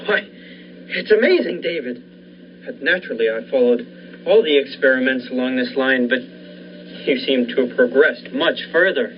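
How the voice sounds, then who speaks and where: A young man playing a cautious, morally troubled surgeon in a 1940s-style radio thriller, hesitant and measured. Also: medium pitch, lively intonation, lightly acted. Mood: doubtful. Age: young adult. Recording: dull lo-fi recording with faint noise.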